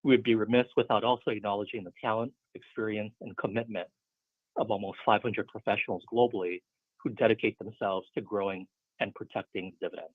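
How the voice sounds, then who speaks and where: A man presenting as a finance executive, reading a formal scripted financial report in a steady, businesslike way. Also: fairly low pitch, a little fast, slightly rough, no echo. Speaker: finance executive on an earnings call. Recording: muffled narrow phone conference line.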